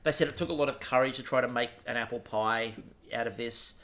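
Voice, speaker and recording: A man's voice: mid-range voice, quick pace, a bit bright. A man co-hosting a comic recap podcast, chatting in a dry, teasing way. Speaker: podcast co-host.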